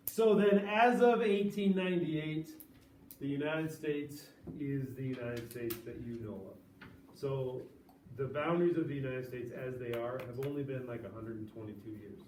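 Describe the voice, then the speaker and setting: A man's voice: medium pitch, unhurried, a little mellow. A male teacher giving a casual, chatty lecture to a classroom of students. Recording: echoey classroom from far off, noisy.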